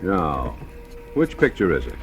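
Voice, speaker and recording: An actor playing a stern, brisk man questioning someone, in 1940s radio suspense style. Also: low voice, wildly swooping pitch, vocal fry, full character voice. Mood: firm, urging. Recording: old 1940s radio broadcast with music.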